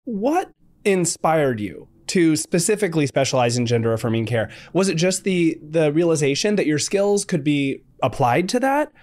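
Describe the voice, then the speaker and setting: A man hosting a podcast, reading a scripted introduction and asking interview questions in a measured way. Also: on the high side, sing-song, a little mellow. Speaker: podcast host.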